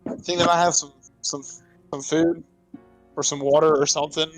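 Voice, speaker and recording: A man chats playfully as a player in a tabletop roleplaying game. His pitch runs a little high. Faint music plays behind him. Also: level tone, moderate pace, low-quality recording. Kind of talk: casual, playful roleplay chat.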